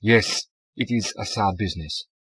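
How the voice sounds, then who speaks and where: A man voicing a scheming fugitive who poses as a meek, grieving German, speaking halting English with a German accent in an audiobook. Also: fairly low pitch, fairly bright tone, breathy edge, dry recording, rough recording. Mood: polite, solemn. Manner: halting, pleading, broken English.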